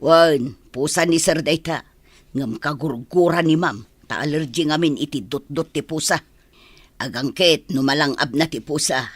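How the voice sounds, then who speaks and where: A woman's voice: low-pitched, husky, no room sound. A woman playing a bossy senior housemaid who gives a new maid her instructions, in a radio drama. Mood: instructive. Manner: brisk, instructive.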